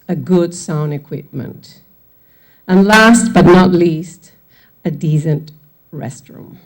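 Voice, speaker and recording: A woman's voice: sits low, a little mellow. Argentine woman giving public comment. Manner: earnest, apologetic about her English. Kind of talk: prepared public comment speech.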